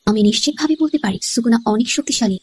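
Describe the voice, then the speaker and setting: A woman voicing a calm, manipulative female boss in an anime fan dub, polite and measured with veiled threat. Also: a little high, husky. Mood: composed. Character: calm, calculating female devil hunter boss, quietly manipulative.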